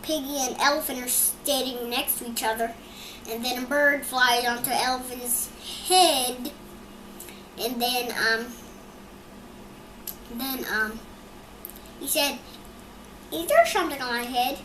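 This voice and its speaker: A child reading a picture book aloud and retelling it in a halting way. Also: high-pitched, steady tone, bright, forceful tone. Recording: echoey, noisy room recording.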